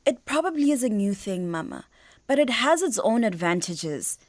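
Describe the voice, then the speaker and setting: Actress as animated young Botswana businesswoman. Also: mid-range voice, normal speed, no echo, close to an everyday voice. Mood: earnest, persuasive. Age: young adult. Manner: articulate, explanatory, code-switching. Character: confident young bride-to-be businesswoman, reasoning earnestly. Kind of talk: radio drama acting.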